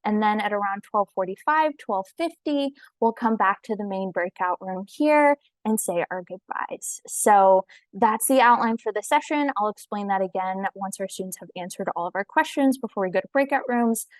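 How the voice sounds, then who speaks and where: A woman's voice: mid-range voice, lively intonation, normal speed, no room sound, low-quality recording. A young woman moderating a student panel over a video call, explaining things in an organized way. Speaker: panel host. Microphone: video call microphone.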